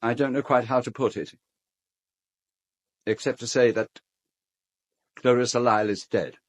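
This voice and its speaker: An actor playing a fussy, courteous middle-aged English gentleman in a radio drama, speaking formally and hesitantly. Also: mid-range voice, steady tone, measured pace, natural voice. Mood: flustered announcing. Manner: hesitant, formal, well-spoken. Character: fussy, courteous gentleman host of an island castle. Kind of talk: British radio drama acting.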